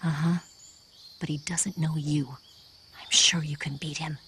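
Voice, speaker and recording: A composed female spy from a video game. Her voice is low, and she speaks in a measured, reassuring way. A faint bed of insect noise runs behind her. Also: little pitch movement, bright, forceful tone. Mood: calm, cautionary. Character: composed, encouraging female spy briefing an ally. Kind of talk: dramatic video game voice acting.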